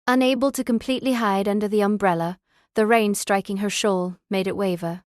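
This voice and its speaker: A woman's voice: mid-range voice, steady tone, a little fast, dry recording, clean studio sound. A woman reading scripted third-person novel narration as an audiobook narrator.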